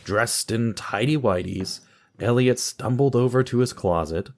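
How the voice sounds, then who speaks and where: A man's voice: mid-range voice, a little slow, background noise. A man narrating scripted fiction in a wry, ominous, formal manner, addressing the listener directly.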